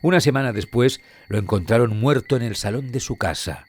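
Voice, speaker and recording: A man narrating a scripted mystery story in a solemn, dramatic manner with deliberate pauses and a husky voice. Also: mid-range voice. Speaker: podcast narrator. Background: background music.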